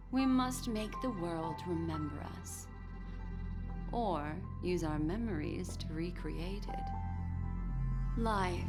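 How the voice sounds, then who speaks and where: An actress voicing an enigmatic, teasing and seductive woman in a video game, poised and coy with lingering, theatrical phrasing. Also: on the low side. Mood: solemn, admiring. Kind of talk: scripted video game character dialogue. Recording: clean voice over game music.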